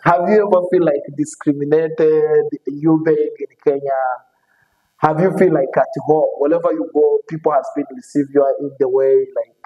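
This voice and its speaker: A man hosting an interview show, speaking non-native English with frequent fillers and quick "okay" and "yes" replies. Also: a little high, steady tone, long reverb tail, lo-fi sound. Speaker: show host. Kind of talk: casual interview.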